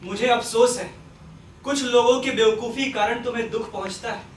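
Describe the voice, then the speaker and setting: Man acting earnest family man, distant and echoey. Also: very high voice. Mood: apologetic, earnest. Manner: polite, measured, insistent. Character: earnest, forgiving family man, gently moralising father. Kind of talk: Hindi stage play acting. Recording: echoey, distant stage recording with noise.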